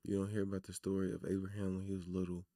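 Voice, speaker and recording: A man hosting a casual livestream, talking loosely and chattily to his viewers with a naturally raspy voice. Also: sits low, monotone, rapid-fire, dark tone, no room sound, studio quality. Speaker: livestream host.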